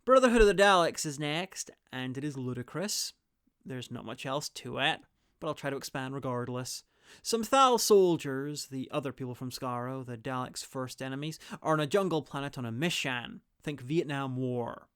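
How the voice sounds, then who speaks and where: A man's voice: mid-range voice, animated, moderate pace, fairly bright tone, smooth. A man narrating a scripted review in a wry, irreverent way. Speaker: reviewer presenting audio drama clips.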